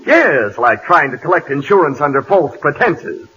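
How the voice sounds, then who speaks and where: A man playing a deadpan insurance man. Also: fairly high pitch, normal speed, a little hiss or hum. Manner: formal, polite and deadpan. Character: stiff, officious insurance man. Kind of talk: 1940s radio comedy. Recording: muffled old radio with studio audience.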